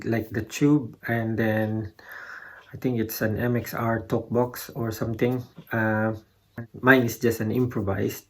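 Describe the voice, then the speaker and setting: Slow-talking man, creaky casual vlogger. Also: fairly low pitch. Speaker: guitar gear video creator. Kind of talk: casual unscripted vlog talk.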